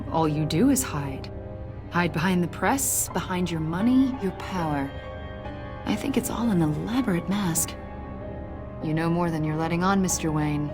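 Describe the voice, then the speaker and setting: A low-voiced, needling agency investigator. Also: female voice, animated. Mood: needling, accusing. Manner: measured, needling, pointed. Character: probing, insinuating female government agent, coolly confrontational. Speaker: voice actor in a story video game. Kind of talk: dramatic game dialogue. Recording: dialogue over clear background music.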